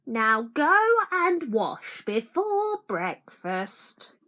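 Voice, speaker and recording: A woman's voice: on the high side, sing-song, extremely slow, bright, forceful tone, pitched above the performer's own voice, heavily acted. A woman voicing a huge, motherly giantess from a storybook, fussy and bossy. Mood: scolding.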